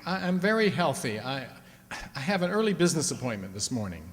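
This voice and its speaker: An actor playing an evasive, henpecked husband in a radio play, awkward and stammering. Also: male voice, mid-range voice, sing-song, fairly quick, natural voice. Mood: impatient, placating. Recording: echoey hall, stage-like reverb.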